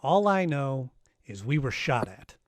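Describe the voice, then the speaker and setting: A man's voice: mid-range voice, very animated, unhurried, background noise. A man playing an unflappable, wisecracking private detective who also narrates in a first-person noir radio style. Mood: wry, unflappable. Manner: dry, wisecracking.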